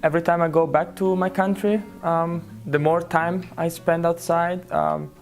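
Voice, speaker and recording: Reflective man, flat delivery. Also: fairly high pitch, normal speed, faint music behind. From Italy. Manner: reflective, repeats words. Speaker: interviewee. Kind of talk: casual interview answers.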